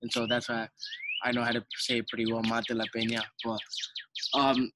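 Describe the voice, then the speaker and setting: A man's voice: mid-range voice, completely flat delivery, brisk, very noisy. A teenage boy from Colombia whose first language is Spanish, reading a picture book aloud to children with many hesitant ums. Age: teens. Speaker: student reader.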